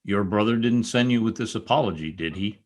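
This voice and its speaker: A man's voice: fairly low pitch, fairly quick, close to an everyday voice. A man playing a bitter, commanding former stable boy turned rich, sardonic, in old-time radio drama style. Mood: probing, smooth.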